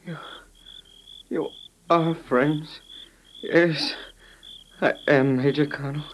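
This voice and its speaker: A man playing an exhausted, dazed army major in an old radio western, speaking weakly in halting, broken phrases. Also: on the high side, fairly even intonation. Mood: dazed, relieved. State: weak and exhausted. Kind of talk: 1950s radio western acting. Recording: noisy old radio show recording.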